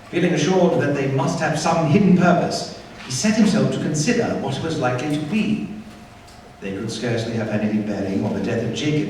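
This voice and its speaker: A man narrating a radio play as a dramatic scripted story, in a measured, theatrical manner. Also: mid-range voice, close to an everyday voice. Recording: very echoey hall, distant, faint noise.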